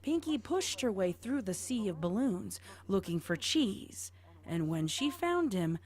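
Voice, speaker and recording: A woman's voice: mid-range voice, very animated, unhurried, darkish tone. Woman narrating a story over faint music.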